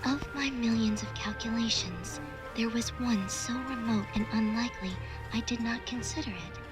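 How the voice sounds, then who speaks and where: Woman voicing solemn high-voiced female AI. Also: lightly acted. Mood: solemn, measured. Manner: formal, measured, detached. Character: solemn, calculating young female artificial intelligence. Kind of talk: video game cutscene acting. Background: music.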